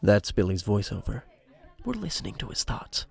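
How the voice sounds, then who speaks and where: A man's voice: fairly low pitch, wildly swooping pitch, moderate pace, vocal fry, darkish tone, slightly rough, exaggerated voice. A man playing the wry, dramatic narrator of a scripted audio drama.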